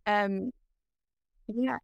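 A woman interviewer asks her questions and responds with chatty affirmations. Her voice sits at a middle pitch. The recording is dry and close, with no echo. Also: fairly even intonation, drawn-out words, creaky, clear, resonant tone, dull audio. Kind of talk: casual interview.

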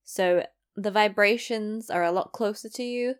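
A woman's voice: mid-range voice, measured pace, clean tone. A young woman from East London with a London accent, talking casually to the camera, self-deprecating and rambling with asides. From East London, England. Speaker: vlogger.

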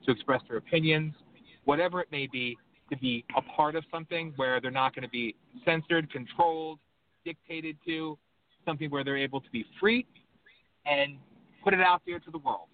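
A man's voice: fairly high pitch, normal speed. A man hosting a call-in radio show, talking in an impassioned, rambling, emphatic way. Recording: tinny phone-line audio with faint background hum.

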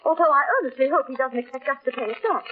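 A woman's voice: sits high, very fast, close to an everyday voice. An actress playing a fussy, prim, money-minded middle-aged mother in a 1940s radio drama. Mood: prim, scolding. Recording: tinny, noisy vintage radio recording.

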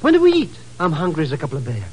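An actor playing an excitable, boyish comic sidekick with slangy, gushing talk in a 1950s radio drama. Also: male voice, a little high, racing. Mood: eager, jolly. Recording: noisy old radio recording.

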